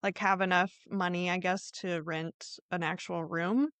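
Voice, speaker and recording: A woman's voice: lowish voice, little pitch movement, a little slow, fairly bright tone, no echo. A woman hosting a podcast, telling true crime stories in an informal, conversational way with a hedging manner. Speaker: podcast host.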